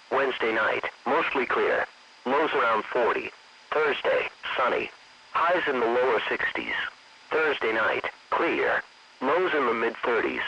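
A man's voice: mid-range voice, bright, forceful tone. A man's synthetic-sounding voice reading a scripted weather bulletin in a stilted, evenly phrased way. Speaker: weather radio forecast announcer. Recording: muffled, noisy weather radio broadcast.

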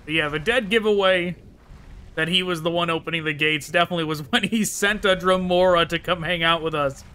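A man hosts a gaming video with casual, thinking-aloud commentary. His voice is fairly high, bright and clean. He sounds chatty and wry as he plays. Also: brisk, smooth.

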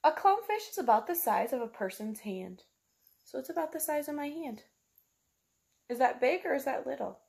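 A woman's voice: sits high, expressive, normal speed, creaky, darkish tone. A woman, reading a picture book aloud like a teacher, explaining simply to young children and asking them questions. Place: small room at home, indoors.

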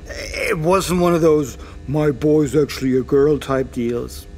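A man's voice: a little high, slow pace, darkish tone, a little hiss or hum. A man playing a crude, unrepentant middle-aged drunkard father in an audio drama, flippant, hesitant and foul-mouthed. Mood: evasive, irritated.

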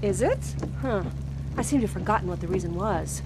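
A woman's voice: mid-range voice, lively intonation, a little fast, natural voice. A woman playing an exasperated young wife in an audio drama, with dry, nagging sarcasm. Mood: sarcastic, exasperated. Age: young adult. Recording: clear rain and vehicle noise behind. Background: rain and vehicle noise.

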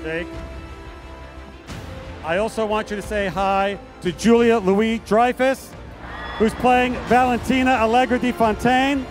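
Male stage presenter, middle-aged, high voice, live announcement style over music. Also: fairly even intonation, unhurried, long pauses. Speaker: presenter at a fan convention. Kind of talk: stage announcements introducing guests. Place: large convention hall stage. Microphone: handheld microphone.

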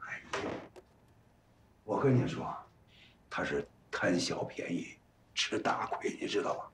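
A man's voice: low-pitched, animated, unhurried, noisy background. An older man acting in a TV drama as an exasperated, stubborn elderly husband who grumbles and repeats himself. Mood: grumbling. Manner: grumbling, repetitive, pleading. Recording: echoey room, faint background music.

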